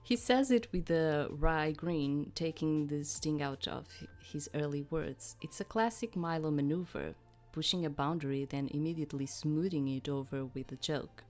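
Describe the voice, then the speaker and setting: Lower-voiced woman playing and narrating a game. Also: moderate pace, a little mellow, soft background music. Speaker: let's play host. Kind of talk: reading game narration aloud with casual commentary.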